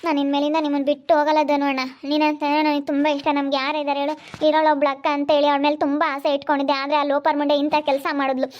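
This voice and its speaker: A woman's voice: sits high, completely flat delivery, fast talker, few pauses, lightly acted. A woman acting a pleading, devoted younger sister in a family drama, imploring and repeating herself. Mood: hurt, devoted. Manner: repetitive, imploring. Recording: dull lo-fi sound.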